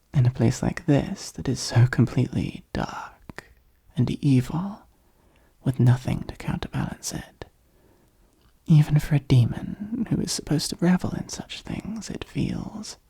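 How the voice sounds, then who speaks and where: A possessive demon lord acted out by a performer murmurs in a very breathy, husky half-whisper. The voice is slow and mellow, with formal, intimate phrasing suited to an ASMR roleplay. It sounds commanding yet softly devoted. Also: medium pitch, heavily acted.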